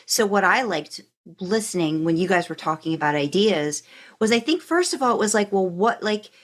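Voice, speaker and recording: A woman's voice: mid-range voice, animated, normal speed. A woman hosting a podcast in casual chat, rambling a little as she thinks aloud. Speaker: podcast host.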